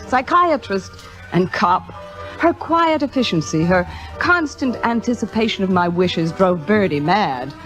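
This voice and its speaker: A worldly, possessive stage star in vintage 1950s radio drama. Her voice sits fairly high, wry and theatrical, with faint music behind it. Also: rough recording. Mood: wry, reminiscing. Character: worldly, possessive forty-year-old Broadway star actress.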